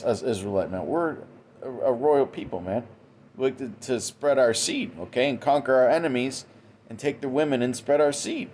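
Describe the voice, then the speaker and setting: A man talks through an informal religious monologue at a mid pitch. He keeps checking in with "okay?" and "man", often restarting his words. A faint hum of noise sits behind him. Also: a little mellow. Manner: tags almost every sentence with "okay?" and "man"; frequent restarts and repeated words. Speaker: religious commentator. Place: indoors, Western New York.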